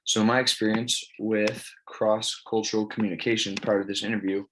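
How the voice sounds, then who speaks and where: A man's voice: on the low side, little pitch movement, normal speed, a little hiss or hum, rough recording. A man, giving an informal spoken presentation in a plain, matter-of-fact, casual way. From California, United States. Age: early 20s. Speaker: college student presenting an assignment.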